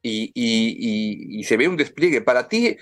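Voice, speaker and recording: A man hosting an interview, asking questions haltingly with many restarts and fillers. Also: mid-range voice, very animated, fairly bright tone. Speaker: interview host. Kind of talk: interview conversation.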